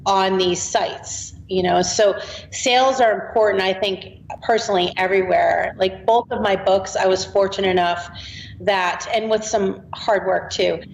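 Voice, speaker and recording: Gravelly-voiced woman author in her forties. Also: medium pitch, steady tone, a little slow. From Boston. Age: 40s. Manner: chatty, laughs easily. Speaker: author, podcast guest. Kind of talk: casual interview chat. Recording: roomy, dull remote audio with faint noise.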